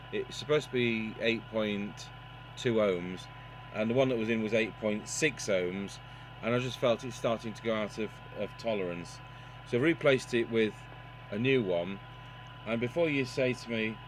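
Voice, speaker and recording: A man gives an unscripted hands-on repair demonstration, matter-of-fact and thinking aloud as he works. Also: on the low side. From United Kingdom. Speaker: radio repair technician and presenter. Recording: noisy workshop recording with electrical hum.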